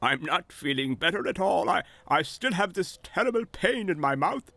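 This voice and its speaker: A man voicing a male farm horse in pain who speaks in halting bits. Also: high voice, lively intonation, gravelly, pushed and bright, no room sound, pitched above the performer's own voice, full character voice. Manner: pained, halting.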